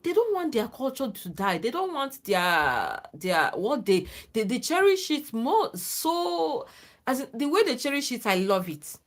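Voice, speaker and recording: A woman's voice: on the high side, sing-song, measured pace. A woman, not a native English speaker, hosting a livestream and giving earnest, casual advice to viewers, often asking "okay?" to check they follow. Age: adult, former lecturer. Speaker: livestream host.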